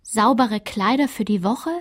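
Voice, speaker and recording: An actress narrating rules and village routines in a radio play, in a clipped, matter-of-fact literary recitation. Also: female voice, a little high, unhurried.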